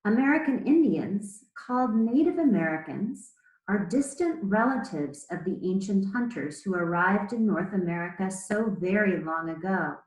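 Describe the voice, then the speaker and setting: Lady teacher doing a read aloud for kids, measured and explainy, echoey room sound. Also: mid-range voice, animated, a little mellow. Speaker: elementary school teacher. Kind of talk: read-aloud lesson to children.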